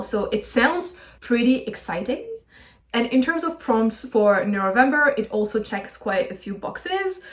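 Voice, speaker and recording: A friendly, enthusiastic woman talking about her reading plans in a casual vlog. Her voice sits in the middle of the pitch range, and she keeps the tone chatty, with small laughs now and then. The recording has a noticeable echo from the room. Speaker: book vlogger. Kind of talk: casual chatty book video. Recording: echoey room.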